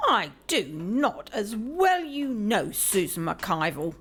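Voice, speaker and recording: An actress playing a sharp-tongued, wry servant woman in an audio play. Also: mid-range voice, big pitch swings, takes it slowly, husky, heavily acted. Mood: tart. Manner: tart, wry.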